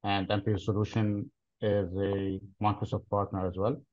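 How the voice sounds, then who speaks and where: A man in his thirties from Lebanon, answering interview questions in a deliberate, explanatory way with frequent pauses, heard over a video call. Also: on the low side, flat delivery. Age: 30s. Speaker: interview guest, cloud solutions architect. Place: plain-walled room, indoors. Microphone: video call webcam. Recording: dull lo-fi video call audio.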